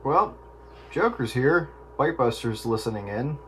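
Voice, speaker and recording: A man's voice: mid-range voice, animated, a little slow, a bit bright. A man chatting casually as a radio operator over a two-way radio. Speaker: amateur radio operator on an on-air roundup. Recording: noisy two-way radio with static.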